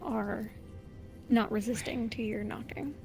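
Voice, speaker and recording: Higher-voiced woman narrating roleplay. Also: measured pace, vocal fry, a little mellow, soft background music. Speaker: game master. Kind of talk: narrating a live roleplay scene.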